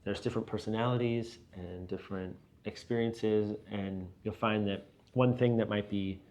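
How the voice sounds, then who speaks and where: Male, middle-aged, lower register. Interviewed leader, reflective and conversational, halting as he explains point by point. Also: steady tone, a little mellow. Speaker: business and church leader being interviewed. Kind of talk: casual reflective interview talk.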